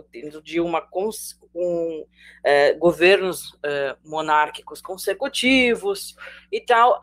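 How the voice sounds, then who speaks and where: Brazilian woman teacher, casual and joking with students, lowish pitch. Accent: Brazilian Portuguese. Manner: chatty, jokey, addresses students directly. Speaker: sociology teacher. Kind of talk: live online class.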